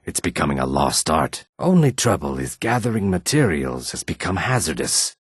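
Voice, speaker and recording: An actor playing a weary, guarded male lizard-folk character in a fantasy video game, speaking in a measured, formal way with a raspy, rumbling voice. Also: very animated, no room sound, clean studio sound, heavily acted. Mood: earnest, courteous. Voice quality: raspy, husky, low rumbling.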